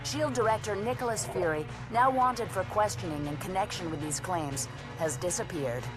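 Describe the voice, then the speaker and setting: An actress playing a grave, formal television news anchor in a video game. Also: female voice, medium pitch, moderate pace, music in the background, natural voice, low-quality recording. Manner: formal, scripted news delivery. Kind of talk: video game cutscene acting as news report.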